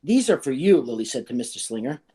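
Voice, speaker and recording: Animated, mellow voice reading to children. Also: medium pitch, fast talker, slightly rough. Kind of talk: read-aloud of a children's book and casual discussion.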